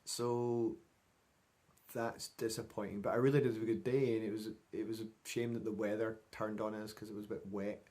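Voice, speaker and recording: A middle-aged man with a fairly low voice recaps things for his vlog. He talks in a chatty, informal way, wandering from one thought to the next as if speaking to friends. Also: flat delivery, moderate pace, warm tone. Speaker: vlogger. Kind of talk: casual vlog recap to camera.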